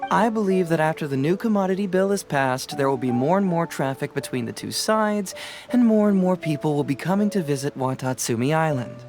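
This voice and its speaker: A breezy young detective. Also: male voice, a little high, lively intonation, fairly quick, darkish tone, faint music behind. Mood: playful. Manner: playful, casual, self-assured. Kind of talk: video game voice acting.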